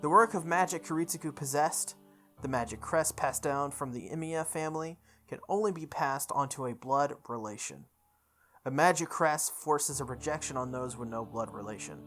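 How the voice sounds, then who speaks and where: Low-voiced woman reading a visual novel aloud. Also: normal speed, fairly bright tone, faint music behind. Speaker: gaming video host. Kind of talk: visual novel playthrough, reading text aloud with casual commentary.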